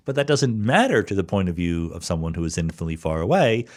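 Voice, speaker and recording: A man's voice: sits low, very animated, fast. A man hosting a podcast, patiently explaining physics ideas and often checking in with "right?" and "okay?". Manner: thinks aloud with frequent "right?" and "okay?" check-ins. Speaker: podcast host answering listener questions. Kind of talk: explanatory solo podcast talk.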